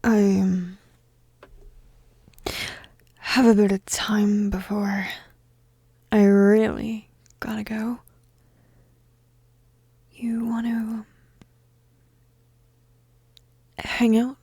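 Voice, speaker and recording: Woman acting a breathy, whispery young goth roommate. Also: medium pitch, flat delivery, extremely slow, pauses often, no room sound, heavily acted. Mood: teasing, shy. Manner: hesitant, gentle, teasing, breathy murmuring. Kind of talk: intimate audio roleplay, soft and half-whispered.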